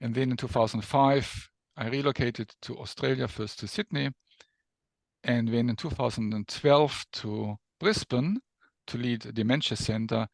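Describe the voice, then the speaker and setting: A man's voice: mid-range voice, moderate pace, gravelly, dry recording. A mature man from Germany with a German accent, speaking as an academic guest explaining things in an interview. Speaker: guest professor, neuroscience researcher.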